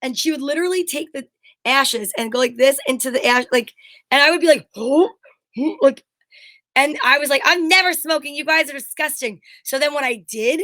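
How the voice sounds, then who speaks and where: A woman's voice: high voice, fairly quick, pushed and bright, no room sound. A woman co-hosting a casual chat show, talking in a blunt, emphatic, rambling way and swearing freely. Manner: rambling anecdotal storytelling. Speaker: show co-host.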